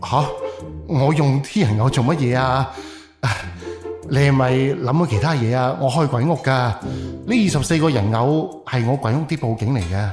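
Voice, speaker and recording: A man's voice: medium pitch, normal speed, lightly acted. Casting: male actor as young Cantonese haunted-house owner, mid-range voice, earnest and courteous, over prominent music. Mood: businesslike. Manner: polite, conversational, sighing. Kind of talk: audio drama acting.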